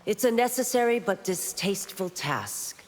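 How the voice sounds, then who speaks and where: A woman's voice: mid-range voice, lively intonation, normal speed, natural voice. An actress playing a stern, dutiful female warrior constable in a video game, formal and firm. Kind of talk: video game character dialogue. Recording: long hall-like reverb.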